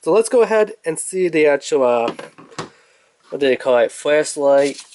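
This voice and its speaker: A man's voice: fairly high pitch, lively intonation, moderate pace, darkish tone, slight background noise. A man giving a casual product review in an informal, rambling way, thinking aloud as he goes.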